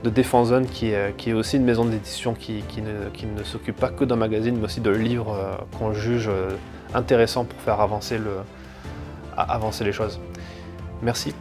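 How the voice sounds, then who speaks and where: A man's voice: lowish voice, level tone, moderate pace. A man hosting a podcast, asking questions with some hesitation and thanking his guest. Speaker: podcast host. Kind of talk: interview and podcast outro. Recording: music playing under the voice.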